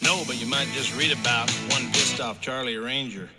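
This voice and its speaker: A man's voice: medium pitch, moderate pace. Man singing a crude country song. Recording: song played over loud music.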